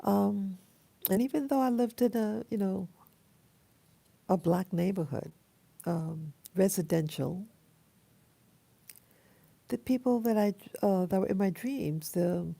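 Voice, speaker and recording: A woman in her late fifties with an American accent, speaking haltingly and reflectively in a casual personal vlog, her voice hoarse from having just woken up. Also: medium pitch, lively intonation, warm tone, no echo. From Queens, New York City, USA. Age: 50s, almost sixty. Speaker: vlogger. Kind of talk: casual personal dream journal.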